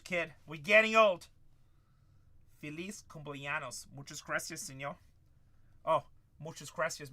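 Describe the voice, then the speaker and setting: A man's voice: fairly high pitch, bright, forceful tone. A man in his forties hosting a live card-break stream, calling out cards and bantering with chat. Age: 40s.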